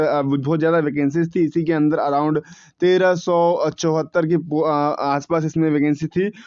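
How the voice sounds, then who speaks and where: Young guy w/ high voice talking thru exam cutoffs, lots of restarts, kinda muffled. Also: darkish tone, no room sound. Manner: conversational, frequent fillers and restarts, repeats figures. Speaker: exam coaching channel host. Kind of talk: informal exam analysis talk to viewers.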